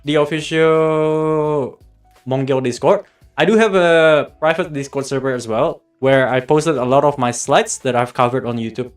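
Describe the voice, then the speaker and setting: A man's voice: a little high, moderate pace. A man from Australia hosting a livestream, chatting casually and directly with viewers as he gives game guidance. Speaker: livestream host and guide maker. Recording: music playing behind the voice. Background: background music.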